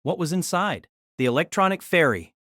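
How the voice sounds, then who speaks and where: Woman reading a novel out loud, dramatic storyteller, really lively pitch, dry recording. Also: normal speed, no room sound. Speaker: narrator. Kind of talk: scripted novel narration.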